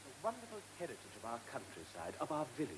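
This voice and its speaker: An actor with an English accent playing an earnest, polite village campaigner in a radio drama, well-spoken and eager. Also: male voice, medium pitch, wildly swooping pitch, rapid-fire, background noise. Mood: earnest pleading.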